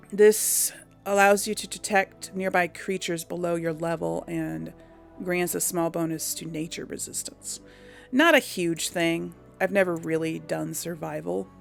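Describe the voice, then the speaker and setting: A woman with a lowish voice and a noticeable creak chats her way through game commentary. She speaks informally and rambles as she thinks aloud, with music playing behind her. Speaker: gaming host. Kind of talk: casual game commentary to an audience.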